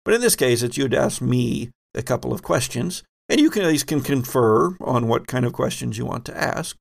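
A man hosting a tabletop role-playing game, explaining the rules in a halting, self-correcting way. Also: mid-range voice, animated, creaky. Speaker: host and game master.

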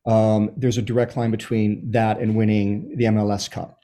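A man in his fifties with an American accent, answering interview questions in a thoughtful, halting, storytelling way. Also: on the low side, flat delivery, dark tone. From Los Angeles, USA, born in Chile. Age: 50s. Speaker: interview guest, soccer writer and supporter. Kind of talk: reflective conversational interview answers.

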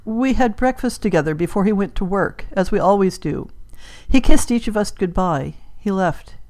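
A woman voicing an earnest, grieving daughter in an audiobook, halting and emotional. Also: mid-range voice, lively intonation, darkish tone, natural voice. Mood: sad, halting. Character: grieving, earnest daughter seeking her dead father. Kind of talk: audiobook character acting.

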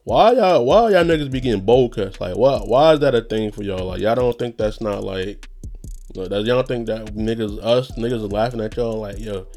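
Laid-back low-voiced young man rambling. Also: normal speed, mellow, faint music behind. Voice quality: slightly nasal. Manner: loose, rambling, profane, laughs often. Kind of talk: casual monologue.

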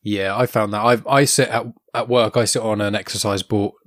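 A man in his twenties hosting a podcast interview, chatty and quick to laugh, his voice a little croaky. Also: on the low side, steady tone, no echo, clean studio sound. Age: 20s. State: slightly croaky, losing his voice. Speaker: podcast host. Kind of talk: casual interview chat.

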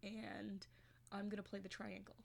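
A woman's voice: mid-range voice, flat delivery, normal speed, gravelly. A young woman co-hosting a casual podcast, bubbly and joking, often chiming in. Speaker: podcast co-host. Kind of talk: casual chat.

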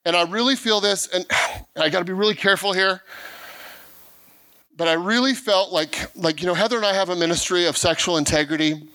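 A middle-aged man preaching with a high, bright, forceful voice. He speaks earnestly and stumbles over repeated words as he builds each point. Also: a little fast. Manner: earnest preaching with frequent restarts and stammered repeats; acts out quoted voices of people he describes. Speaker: pastor. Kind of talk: sermon.

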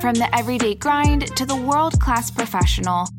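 A woman's voice: a little high, lively intonation, normal speed. A woman reading a polished, scripted show introduction in a promotional tone. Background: loud music.

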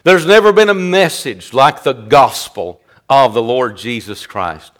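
A man preaching an evangelistic sermon, repeating phrases for emphasis, calling for amens and swinging between loud cries and hushed asides. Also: mid-range voice, lively intonation, unhurried. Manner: emphatic repetition, calls for amens.

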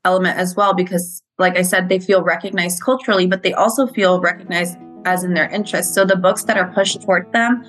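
A woman's voice: on the low side, fairly even intonation, a little fast, few pauses, fairly bright tone, faint music behind. A Mexican-born woman teacher earnestly explaining a reading program in an interview. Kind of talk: interview conversation.

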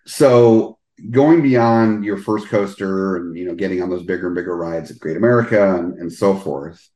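Low-voiced rambling podcast host, echoey. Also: male voice, moderate pace, dark tone. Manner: rambling, many restarts and fillers. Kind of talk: casual interview chat.

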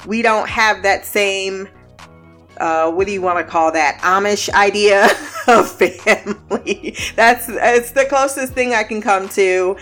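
A woman's voice: medium pitch, slow pace, a bit bright, faint music behind. A woman hosting a casual TV review podcast, blunt, laughing often and swearing freely. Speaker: podcast host.

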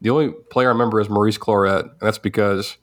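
Twenties male co-host, lowish voice, loose rambling sports talk. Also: steady tone, fast. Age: 20s. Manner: rambling, many restarts. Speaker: podcast co-host. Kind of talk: casual sports chat.

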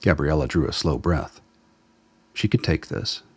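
A man reading scripted dramatic narration as the storyteller of a radio play. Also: unhurried. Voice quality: very deep, husky.

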